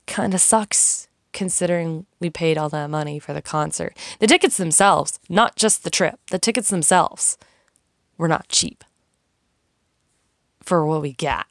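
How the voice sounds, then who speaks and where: A woman's voice: sits low, gravelly, no room sound, clean studio sound. A young woman talking casually and frankly, rambling and swearing as she vents. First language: English. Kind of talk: casual monologue, venting.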